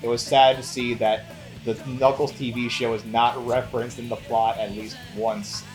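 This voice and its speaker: A man's voice: medium pitch, level tone, moderate pace, poor audio. Man chatting over background music. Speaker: co-host of a movie review show. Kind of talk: casual film review chat.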